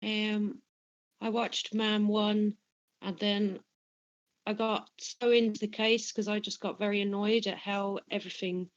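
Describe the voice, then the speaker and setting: English-accented woman guest, monotone delivery, muffled lo-fi audio. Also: medium pitch, a little slow. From England. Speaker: guest researcher. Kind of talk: casual podcast chat.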